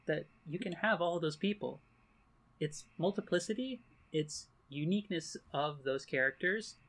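A woman's voice: low voice, fairly bright tone, poor audio. A woman speaking frankly in a casual panel discussion, talking in loose, chatty runs filled with "like.".

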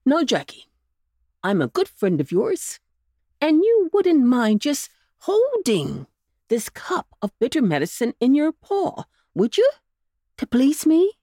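A cheerful, clever old gentleman rabbit from a storybook, as a woman reader puts him on, raised high above her plain voice and drawn out slowly. He coaxes and jokes in a jolly, lilting tone that swings up and down, recorded close and dry. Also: huge pitch swings, dry recording, full character voice. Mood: coaxing.

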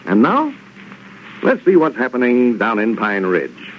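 Male announcer, American accent, lively intonation, 1930s radio sponsor read, muffled broadcast, faint noise. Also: medium pitch, unhurried.

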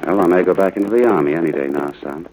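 An actor playing a stern, gruff but fair ranch father in a 1940s radio drama, firm and clipped. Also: low voice, very fast, narrow, tinny audio, lightly acted. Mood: stern, earnest. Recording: noisy vintage radio broadcast.

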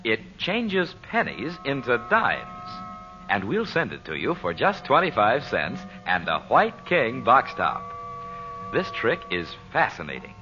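An American man announcing and pitching on old-time radio in an emphatic, salesmanlike style, speaking straight to the listener. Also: huge pitch swings, unhurried, music in the background. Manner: salesmanlike, emphatic, direct address. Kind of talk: 1940s radio advertising announcing. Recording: dull vintage radio broadcast recording.

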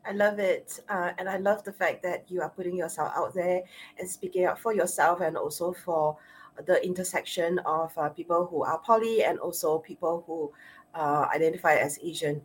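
A woman's voice: lowish voice, steady tone, normal speed, slight background noise. A woman with a Singaporean accent hosting an interview as a sexologist, speaking with frequent uhs and repeated words. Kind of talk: interview host.

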